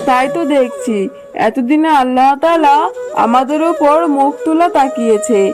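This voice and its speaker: A woman voicing a humble, kindly mother fox in a children's cartoon, speaking gently and earnestly. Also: high voice, close to an everyday voice.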